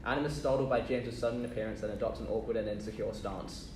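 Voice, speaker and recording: A man reads stage directions as narrator at a table read, moving quickly through the script. He sounds distant in a roomy space, with clear noise behind him. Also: fairly low pitch, fairly even intonation, a little mellow.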